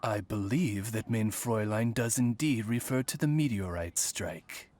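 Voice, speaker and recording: A man's voice: fairly low pitch, unhurried, darkish tone, soft background music, lightly acted. A man voicing a courteous talking raven in a video game, a humble butler-like familiar with a formal, deferential manner. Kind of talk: video game cutscene acting.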